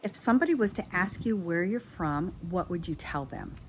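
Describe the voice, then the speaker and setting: A woman's voice: fairly low pitch, expressive, normal speed, slight background noise. An older woman from Florida, a doll craft vlogger, telling her life story in a chatty, friendly way. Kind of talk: casual personal storytelling.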